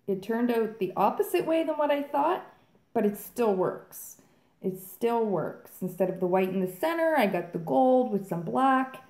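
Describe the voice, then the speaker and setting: Woman, chatty tutorial host, mellow voice, roomy echo. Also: medium pitch. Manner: chatty, upbeat, addresses viewers as guys. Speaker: fluid art channel host. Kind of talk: casual tutorial chat while painting. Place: home art studio, indoors.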